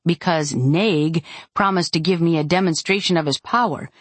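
A woman narrator plays a fierce, short-tempered woman fighter from an audiobook. Her low voice has a husky grain, and she speaks in terse, urgent commands with little patience. The sound is close and dry. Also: moderate pace, dry recording, natural voice. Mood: urgent, commanding. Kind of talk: first-person audiobook character acting.

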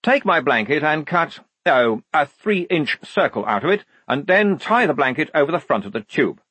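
A man playing a pompous, high-pitched army lieutenant. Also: big pitch swings, moderate pace, a bit bright, husky, no room sound, full character voice. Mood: brisk, officious. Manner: pedantic, clipped, officious. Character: pompous, prim, proudly clever young male army lieutenant.

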